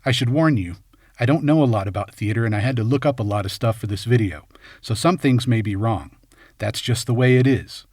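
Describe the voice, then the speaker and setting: A man's voice: mid-range voice, dry recording, studio quality. A man reading scripted film commentary as host, in a wry, tongue-in-cheek way. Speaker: video essay host.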